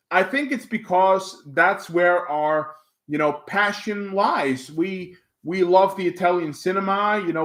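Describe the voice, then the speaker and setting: A man's voice: high voice, a bit bright. A man with a Dutch accent, a native Dutch speaker, hosting a livestream and chatting casually with viewers, rambling with lots of fillers and restarts. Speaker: livestream host. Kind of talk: casual livestream chat, reading viewer comments.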